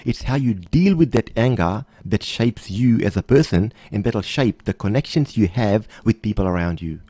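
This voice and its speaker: A man's voice: fairly low pitch, lively intonation, normal speed, warm tone, husky, no echo. A man speaking as an interview guest and motivational coach, earnest and emphatic, often repeating phrases. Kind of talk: conversational interview, motivational talk.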